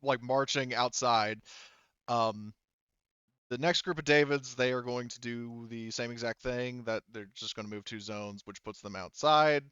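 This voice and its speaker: Casual male game master, clear smooth voice, sweary table talk, dry and slightly muffled recording. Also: mid-range voice, unhurried, a bit bright, no room sound. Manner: informal, swearing.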